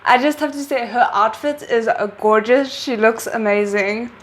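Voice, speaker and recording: A woman hosting her own reaction video, talking casually and excitedly, thinking out loud. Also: on the high side, moderate pace. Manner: excited, chatty, thinks aloud. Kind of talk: casual chat.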